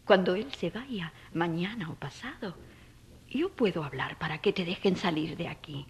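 A woman playing an anguished, protective mother in an audio drama, tender and pleading, with a husky, breathy voice. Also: fairly low pitch, animated, normal speed, a little hiss or hum. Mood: tender resolve.

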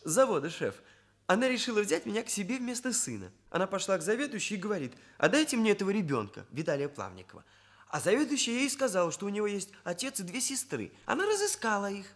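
A man's voice: high-pitched, big pitch swings, fast talker, fairly bright tone. A man playing an earnest, sensitive young hairdresser with formal, slightly self-important phrasing, in a Soviet radio drama. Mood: sorrowful, confiding. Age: young adult. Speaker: actor in a radio play.

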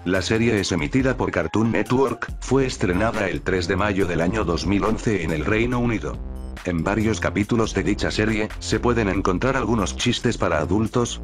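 A man narrates from a script in a fairly low voice. Faint music plays behind him as he presents a countdown list. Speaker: narrator of a top list video. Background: background music.

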